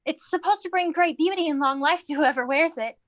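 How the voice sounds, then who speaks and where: Young goddess female actor: breezy, playful, high pitched, slightly muffled lo-fi sound. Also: a little fast, lightly acted. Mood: playful, coaxing. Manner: teasing, breezy. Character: playful, perceptive young goddess teasing her crush. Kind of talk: live staged play reading.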